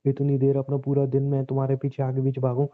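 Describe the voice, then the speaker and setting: A man's voice: mid-range voice, monotone, fast talker. A young man bickering casually as a boyfriend on a phone call, exasperated, scolding and sarcastic. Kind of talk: casual couple's phone chat, playful bickering. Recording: narrow, tinny phone-line sound.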